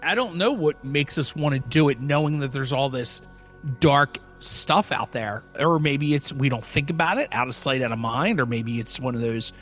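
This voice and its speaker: A male podcast co-host with an average-pitched voice. He chats informally and loosely, rambling from one example to the next, with faint music in the recording. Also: animated, moderate pace, fairly bright tone. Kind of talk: casual conversational podcast talk.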